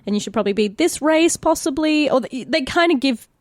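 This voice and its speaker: Woman, Australian accent, podcast co-host, fairly high voice, dry recording, informal sweary chat. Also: expressive, brisk, no room sound.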